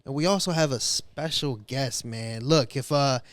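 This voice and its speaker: A man's voice: mid-range voice, a little slow, dry recording. Laid-back male podcast host, dry. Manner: laid-back, repeats fillers like "you know what I mean". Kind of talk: casual interview chat.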